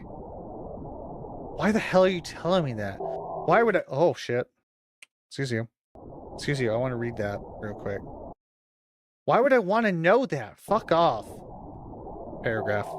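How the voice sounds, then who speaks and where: A man's voice: medium pitch, very animated, a little slow, pauses often, background noise. A man livestreamer talking casually to his chat, informal and teasing, with some swearing. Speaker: livestreamer hosting a watch-along.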